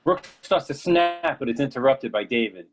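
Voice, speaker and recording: A man's voice: medium pitch, lively intonation, moderate pace, faint music behind. A man reading stage directions as scripted narration for a play.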